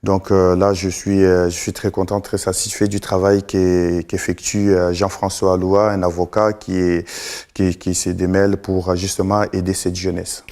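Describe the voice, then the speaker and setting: A middle-aged man from Congo, a guest in a TV studio, answering slowly with many fillers and restarts. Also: low voice, level tone, darkish tone. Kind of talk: live TV interview.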